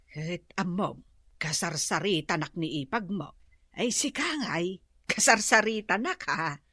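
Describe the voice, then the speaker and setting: A woman's voice: lowish voice, animated, unhurried, bright, forceful tone, husky, no echo, clean studio sound, exaggerated voice. A woman playing a patient older mother giving sighing advice in an Ilocano radio drama. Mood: chatty.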